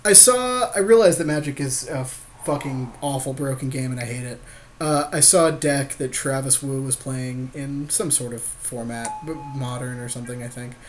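A man's voice: medium pitch, moderate pace, faint music behind. A man giving casual game commentary as a podcast co-host, slangy, agreeable and joking. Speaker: podcast co-host playing an online card game.

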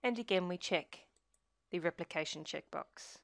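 A woman presenting a software tutorial in scripted screencast narration, giving measured step-by-step instruction. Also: lowish voice, no room sound, studio quality.

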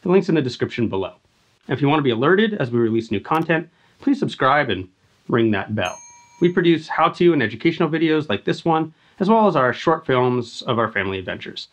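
A man's voice: medium pitch, very animated, fairly quick, highs cut off. An American man in his thirties gives scripted instructional narration, explaining methodically, step by step. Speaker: outdoor skills instructor and video host.

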